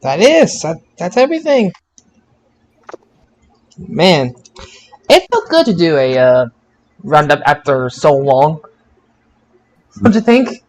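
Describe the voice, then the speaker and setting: A man's voice: fairly high pitch, sing-song, takes it slowly, pauses often. A man hosting a podcast in a casual, chatty way, often stumbling and repeating his words. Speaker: podcast host. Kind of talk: casual podcast chat and sign-off.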